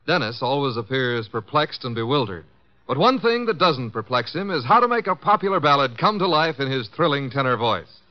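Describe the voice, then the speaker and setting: An American man announcing and narrating in the scripted style of 1950s radio. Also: fairly high pitch. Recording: dull vintage radio broadcast, music behind.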